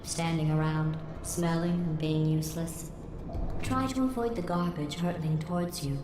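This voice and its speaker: A woman voicing a cold, sarcastic female AI that runs a test facility, with a synthetic robotic edge and a deadpan, passive-aggressive delivery. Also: sits low, moderate pace, music in the background. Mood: dry sarcastic. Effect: synthetic robotic processing. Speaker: antagonist. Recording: roomy echoing game audio with faint noise.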